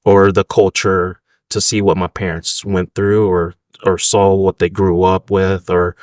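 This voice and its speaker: A man's voice: sits low, little pitch movement, measured pace, a little mellow, dry recording, studio quality. A man in his thirties from the United States, a restaurant owner chatting casually in an interview, rambling with fillers.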